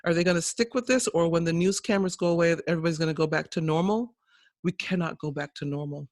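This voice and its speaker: A man's voice: a little high, fast talker, no room sound. Earnest man, emphatic and dry. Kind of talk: impassioned casual conversation.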